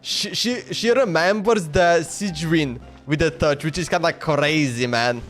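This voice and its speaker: A man live-streaming a video game in a high-pitched voice. He comments in an informal, blunt way, as if chatting with viewers. Faint game music plays behind him. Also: measured pace, fairly bright tone. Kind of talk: casual live reaction commentary.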